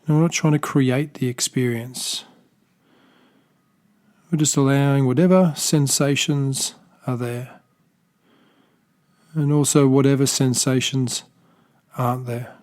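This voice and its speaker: A man with an Australian accent leads a body scan meditation in a soft, unhurried voice. His pitch sits in the middle range, and he leaves long pauses between gentle, loosely phrased instructions. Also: steady tone. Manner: slow, soft-spoken, gentle guidance with pauses; unscripted, with small restarts. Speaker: meditation teacher.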